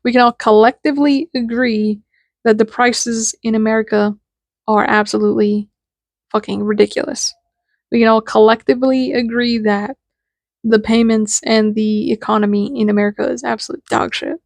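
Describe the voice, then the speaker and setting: A young woman in her twenties talks through her thoughts in a loose, candid way, swearing now and then. Her voice is nasal and sits a bit high. The sound is dry, with no room echo. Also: fairly even intonation, moderate pace, creaky. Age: 20s. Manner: candid, rambling, swears casually. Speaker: podcast host. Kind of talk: casual reflective monologue.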